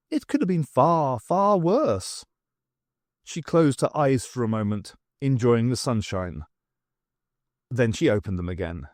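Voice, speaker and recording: A man reading scripted story narration as an audiobook narrator, with expressive, dramatic phrasing. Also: a little high, no echo, studio quality.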